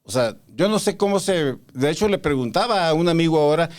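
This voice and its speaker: Male podcast host, smooth clear tone, conversational and probing. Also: a little high, lively intonation, a little fast. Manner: probing, interrupts to challenge. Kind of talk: casual conversational interview.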